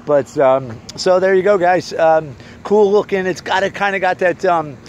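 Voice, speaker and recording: A man's voice: high voice, normal speed, highs cut off. A man in his forties giving a casual, unscripted walk-around vlog tour, rambling and thinking aloud into a handheld phone. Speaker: vlogger reviewing local bars. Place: outdoors in parking lot and around bar. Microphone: handheld phone at arm's length. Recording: noisy handheld phone recording outdoors.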